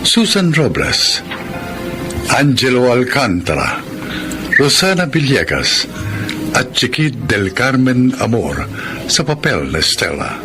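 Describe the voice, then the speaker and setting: Slow, dramatic-ranged Filipino male announcer. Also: mid-range voice, sing-song. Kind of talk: formal radio drama announcing, scripted credits. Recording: music under the voice.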